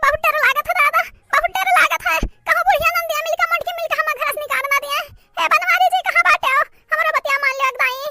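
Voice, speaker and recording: A performer voicing a melodramatic cartoon village woman, scolding and pleading in a shrill childlike voice with an Awadhi accent. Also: fairly even intonation, fast, pushed and bright, dry recording, full character voice. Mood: fearful pleading.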